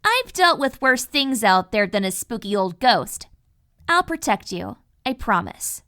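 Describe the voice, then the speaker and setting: A woman's voice: on the high side, sing-song, measured pace, sharp tone, exaggerated voice. A woman voicing a thoughtful, protective adult unicorn mare in a cartoon-style audio drama. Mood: reassuring. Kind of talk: animated fan fiction audio drama acting.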